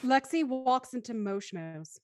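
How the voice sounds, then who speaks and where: A woman's voice: a little high, expressive, a little slow, a little mellow. A woman reading stage directions as the narrator of a live scripted table read.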